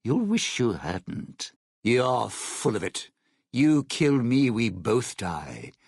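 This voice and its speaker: An actor playing a jaded, scheming old-world lounge singer and crook in a video game, with a gravelly, husky voice and a sardonic, slangy, wary delivery. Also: male voice, medium pitch, sing-song, slow pace, a little mellow, dry recording, studio quality, exaggerated voice. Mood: threatening, defiant. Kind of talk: video game character dialogue.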